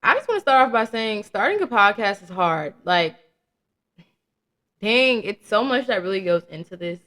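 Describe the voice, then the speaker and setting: A woman's voice: mid-range voice, very animated, normal speed, sharp tone, slight background noise. A young woman around twenty hosting her own podcast, talking candidly and casually with slang, swearing and laughing at her own jokes. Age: young adult. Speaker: podcast host. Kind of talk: casual solo podcast chat.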